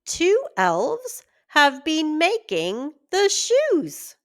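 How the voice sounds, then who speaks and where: A woman's voice: high voice, huge pitch swings, extremely slow, a bit bright, voice pushed up, exaggerated voice. A woman voicing a humble, grateful male shoemaker in a children's fairy tale. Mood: surprised.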